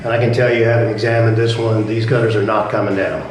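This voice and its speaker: A man, a home builder, hosting and interviewing on site in a measured way with frequent fillers. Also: lowish voice, little pitch movement. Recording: echoey, with noticeable background noise.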